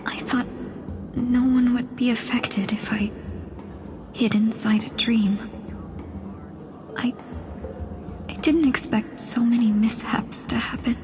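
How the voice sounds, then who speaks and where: An actress playing a gentle, wounded young woman in a video game, with a soft, breathy, halting delivery. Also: fairly high pitch, steady tone. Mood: weak, sincere. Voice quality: breathy, whispery. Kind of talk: scripted video game voice acting. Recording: music behind the voice.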